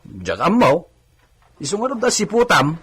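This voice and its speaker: A male actor playing a gruff, suspicious man in a radio drama. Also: a little high, wildly swooping pitch, measured pace, a bit bright, exaggerated voice.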